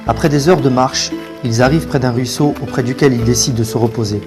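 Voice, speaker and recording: A man's voice: mid-range voice, fairly even intonation, fast. A man narrating a religious cartoon tale in a formal, scripted storytelling manner. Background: music.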